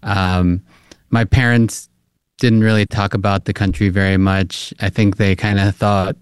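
A man born in South Korea, speaking as a guest on a radio interview, halting with many fillers. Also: on the low side, flat delivery, smooth, no room sound. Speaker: guest author.

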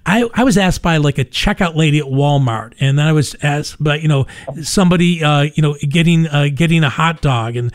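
A middle-aged man with a softened New York accent, telling stories in an animated, casual conversational way. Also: fairly high pitch, brisk, hardly any pauses. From New York, United States. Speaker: ministry leader telling its story. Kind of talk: casual radio interview conversation.

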